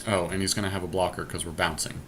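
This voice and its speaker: Low, bright-voiced man talking through game moves. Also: level tone, fast talker. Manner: thinks aloud step by step through moves. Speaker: podcast host playing an online card game. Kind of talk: casual gameplay commentary with a teammate. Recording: clear background noise, amateur screen capture.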